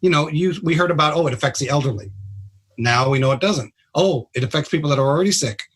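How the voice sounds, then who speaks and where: A higher-pitched man with a husky edge to his voice talks bluntly as a radio guest. He explains with punchy, emphatic sentences in a casual way. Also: fast talker, fairly bright tone. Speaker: trauma surgeon guest.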